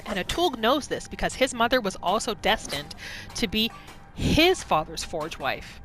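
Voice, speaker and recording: A woman narrating a role-play gaming video, musing aloud about her character in an expressive, questioning way. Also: on the high side.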